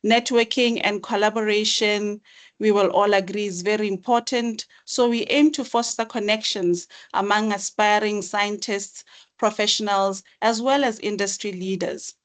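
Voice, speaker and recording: A woman's voice: medium pitch, steady tone, normal speed. A woman delivering formal, rehearsed welcome remarks at an online webinar. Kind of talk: formal read-out speech.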